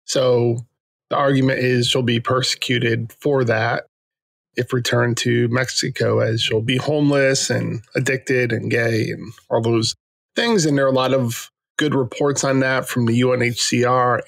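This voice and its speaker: Male co-host, mid pitch, non-native English speaker, measured and plain, dry sound. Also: gravelly, a bit bright, no echo. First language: not English. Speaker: podcast co-host, immigration attorney. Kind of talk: casual podcast chat.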